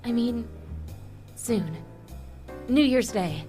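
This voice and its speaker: A woman's voice: a little high, expressive, drawn-out words, mellow, faint music behind. A woman playing a sincere, tender mother in an old-time radio drama, speaking earnestly and emotionally. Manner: earnest, emotional, hesitant restarts.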